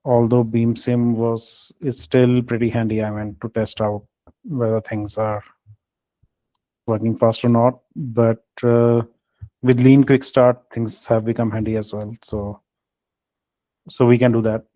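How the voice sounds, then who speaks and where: A man leading a technical team call, speaking in a halting, hesitant way with filled pauses. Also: mid-range voice, level tone, dark tone, dry recording, rough recording. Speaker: meeting lead.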